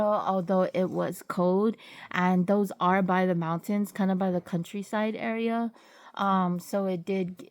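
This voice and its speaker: Woman with a cold, halting vlog talk. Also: mid-range voice, darkish tone. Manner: halting, many fillers and self-corrections. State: getting over a cold, sniffling. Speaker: vlogger sharing travel testimonies. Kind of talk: casual spoken testimony.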